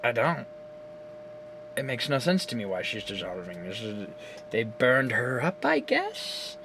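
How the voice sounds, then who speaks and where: A man talks into his phone camera at home in a loose, rambling way, recapping a game's story with plenty of swearing and laughter. His voice sits at an ordinary middle pitch. A faint hum runs underneath. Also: measured pace, creaky, rough recording. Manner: animated, profane, laughs often. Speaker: gaming video creator.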